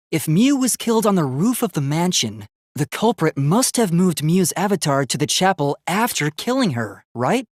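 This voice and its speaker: A man's voice: on the high side, dry recording, clean studio sound. A man voicing an earnest, logical young male robot student in a video game, speaking in a formal, precise, analytical way. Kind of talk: English video game voice acting.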